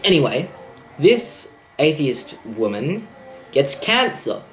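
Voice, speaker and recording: A man's voice: fairly high pitch, big pitch swings, slow pace, fairly bright tone, faint music behind. A young man ranting about a film in a sarcastic, profane, exasperated way. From Australia. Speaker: vlogger. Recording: echoey room.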